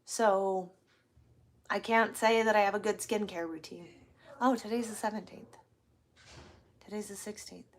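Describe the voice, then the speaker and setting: A woman in her forties hosts a casual livestream, replying to comments as they come in. She talks in a candid, rambling way, like someone thinking out loud with regular viewers. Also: medium pitch, fairly even intonation, unhurried, pauses often, slight background noise. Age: 40s. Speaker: livestream host, truck driver. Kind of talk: casual live chat answering viewer comments.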